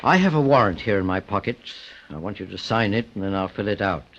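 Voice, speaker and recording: An actor playing a calm, relentless male private detective in old-time radio style, deliberate, formal and accusatory. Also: lowish voice. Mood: grave, courteous. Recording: muffled vintage radio recording.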